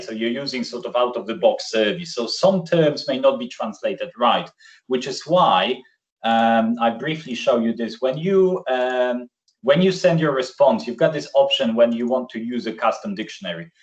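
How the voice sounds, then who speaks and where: A man whose first language is Polish, presenting a live software demo on a video call in a chatty way with many fillers. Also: medium pitch. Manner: chatty, filler-heavy, self-correcting. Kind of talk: live screen-share demo. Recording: distant, muffled video call audio.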